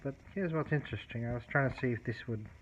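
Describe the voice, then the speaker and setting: A man casually demonstrating a tape recorder repair at his workbench, explaining step by step in a halting way, with many fillers and restarts. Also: medium pitch. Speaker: hobbyist repairer. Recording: dull, lo-fi audio with clear background noise and clicks.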